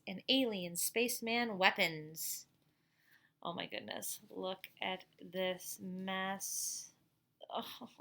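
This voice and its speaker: A middle-aged woman reading a picture book aloud like a teacher at storytime, expressive, with chatty asides and questions to young listeners. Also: medium pitch, gravelly.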